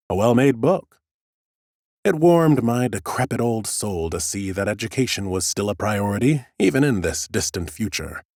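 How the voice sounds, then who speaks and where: Man voicing a pompous, deep-voiced ancient lich gentleman. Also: no echo, studio quality. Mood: curious, appraising. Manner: pompous, theatrical, drily humorous. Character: ancient undead lich scholar, male, fussy, smug, whimsical gentleman. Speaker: audiobook narrator. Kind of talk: first-person audiobook narration.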